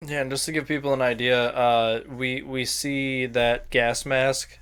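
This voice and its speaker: Clear, even-toned male co-host. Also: mid-range voice, measured pace. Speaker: podcast co-host. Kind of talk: casual explanatory chat.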